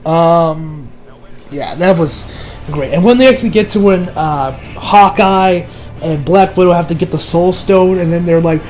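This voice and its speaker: A young adult man giving a casual, rambling movie review with excitable delivery and frequent swearing. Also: on the high side, measured pace, faint music behind. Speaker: video reviewer. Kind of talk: casual movie review chat over gameplay.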